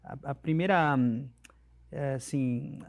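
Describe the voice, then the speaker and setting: A man's voice: mid-range voice, takes it slowly, gravelly. Middle-aged Brazilian man, hesitant interview guest. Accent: Brazilian Portuguese, coastal São Paulo. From Brazil, coastal São Paulo state. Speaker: interview guest, court employee and union official. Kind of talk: casual interview answers.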